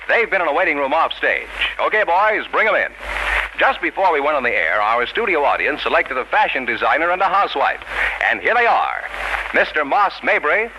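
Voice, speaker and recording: Animated American radio announcer, dull noisy recording. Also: male voice, fairly high pitch, brisk. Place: radio studio stage with audience.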